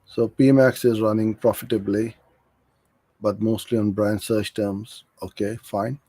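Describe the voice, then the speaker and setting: A man's voice: lowish voice, flat delivery, a little slow, dark tone, dry recording. A middle-aged man from Karachi, Pakistan, coaching in an informal live question-and-answer session, frank and practical as he explains. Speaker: digital marketing agency owner hosting a live Q&A.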